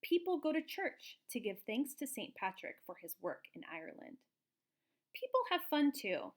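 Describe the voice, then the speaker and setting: A woman reading a picture book aloud to young children in an expressive, explanatory way, like a teacher. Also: sits high, moderate pace. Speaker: children's storybook reader, teacher.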